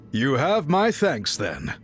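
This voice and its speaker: Fantasy game junior tribesman: earnest, deferential, very expressive pitch, clearly audible score underneath. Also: heavily acted. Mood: grateful. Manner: formal, respectful, apologetic. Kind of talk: anime fantasy game voice acting.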